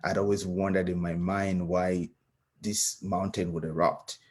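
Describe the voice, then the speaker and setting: Deep, husky man with a Cameroonian accent. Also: flat delivery, measured pace. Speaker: guest speaker, scientist. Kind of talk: reflective personal talk.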